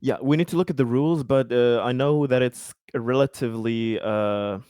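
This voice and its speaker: A man's voice: mid-range voice, normal speed, a little mellow, clear, resonant tone, no room sound, studio quality. A man playing a tabletop game, casually explaining rules in an informal way.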